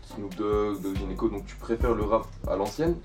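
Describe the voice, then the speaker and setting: Low-voiced male interviewer. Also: fairly even intonation, normal speed, a little mellow, faint music behind. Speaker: interviewer host. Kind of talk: casual interview questions.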